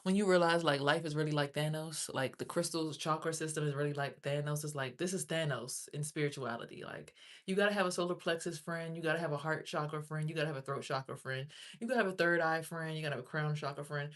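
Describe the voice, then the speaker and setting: A woman's voice: sits low, steady tone, fast, hardly any pauses. A young woman, chatting loosely on a livestream, rambling about spiritual topics and swearing freely. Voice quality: raspy. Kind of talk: casual livestream chat.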